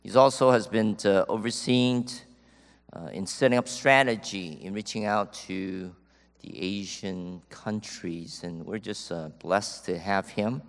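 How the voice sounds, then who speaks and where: A man introducing a guest speaker at a church service, halting with many fillers. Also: on the low side. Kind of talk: church announcements. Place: church sanctuary. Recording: long reverb of a church hall.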